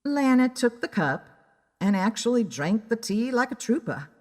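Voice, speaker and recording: A woman's voice: on the high side, sing-song, moderate pace. A woman narrating a first-person story in a dramatic audiobook style. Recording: long reverb on the voice.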